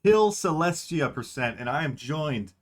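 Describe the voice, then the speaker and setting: A man voicing a cheery male speedrunner who greets a marathon crowd in a loud, drawn-out announcer manner. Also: fairly high pitch, lively intonation. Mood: announcing.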